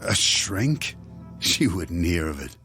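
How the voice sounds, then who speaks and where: A man's voice: low-pitched, very animated, takes it slowly, bright, forceful tone, soft background music, exaggerated voice. An actor playing a controlling, uneasy husband in a radio drama, hesitant and stonewalling as he speaks. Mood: guarded, resistant. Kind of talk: modern radio drama acting.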